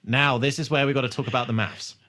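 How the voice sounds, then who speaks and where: A man co-hosting a casual comedy podcast, chatting in a sweary, animated way and often laughing. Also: mid-range voice, quick pace, slight background noise. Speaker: podcast host. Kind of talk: casual comedic chat about a TV show.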